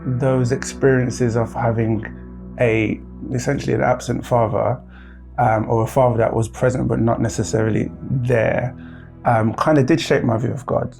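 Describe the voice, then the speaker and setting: A man's voice: mid-range voice, little pitch movement, moderate pace, creaky, darkish tone, faint music behind. A man reflecting hesitantly on his upbringing, with many fillers and restarts. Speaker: discussion participant. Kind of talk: reflective personal testimony.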